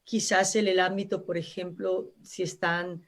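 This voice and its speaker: A woman's voice: on the low side, little pitch movement, unhurried. A woman from Brazil, a native Portuguese speaker with a Brazilian accent, speaking Spanish as a guest expert on a live radio interview, explaining earnestly with frequent restarts.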